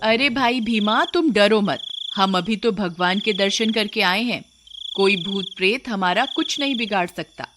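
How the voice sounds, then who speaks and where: A woman's voice: mid-range voice, a little slow, background noise, close to an everyday voice. A woman voicing a sensible, devout male villager in a storybook tale, admonishing and reassuring.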